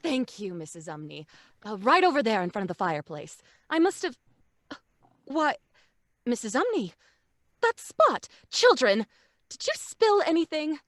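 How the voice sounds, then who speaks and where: A woman's voice: high-pitched, very animated, normal speed, long pauses, dry recording, clean studio sound. A woman playing a refined, easily flustered mother in an old-time radio drama, with a fluttery manner. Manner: genteel, fluttery. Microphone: studio mic at home, video call.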